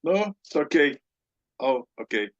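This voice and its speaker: Slow, halting man. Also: a little high, big pitch swings, lo-fi sound. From Brazil. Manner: hesitant, halting, brief replies. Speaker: caller. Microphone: video call.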